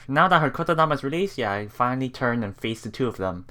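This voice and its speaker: A woman's voice: rumbling low, a bit bright, a little hiss or hum. A woman hosting a let's play, reading visual novel text aloud and reacting with giggly asides. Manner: giggly, reactive asides between read lines.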